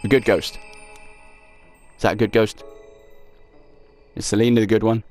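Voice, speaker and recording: A man's voice: fairly low pitch, level tone, moderate pace, darkish tone. A young man casually commenting on a horror game as he plays, reading in-game notes aloud, sarcastic and swearing at the game. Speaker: let's play host. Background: faint game music.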